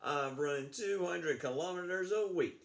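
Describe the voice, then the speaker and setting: A man's voice: high voice, a little slow, pitched above the performer's own voice. A man reading a storybook, voicing a snooty male runner who talks in a haughty, bragging way. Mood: boastful.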